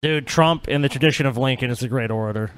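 A man's voice: mid-range voice, quick pace, smooth. A man co-hosting a podcast in casual, sarcastic political banter. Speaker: podcast co-host.

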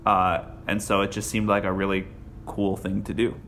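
A man answering podcast interview questions in a halting way, full of restarts and fillers. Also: sits low, monotone, fairly bright tone, a little hiss or hum. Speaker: interview guest.

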